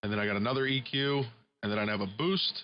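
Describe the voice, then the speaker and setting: A man's voice: mid-range voice, fast, slight background noise. A man chatting casually as an amateur radio operator, his processed voice coming over a single-sideband radio link. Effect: single-sideband radio transmission with processed audio. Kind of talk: casual ham radio chat. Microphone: studio broadcast microphone. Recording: dull, band-limited shortwave radio audio.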